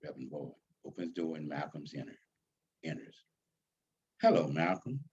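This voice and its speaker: A man's voice: extremely low pitch, fairly even intonation, brisk, vocal fry, a little mellow, a little hiss or hum. A man reading his own story aloud as a dramatic storybook narration. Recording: slightly dull, muffled sound.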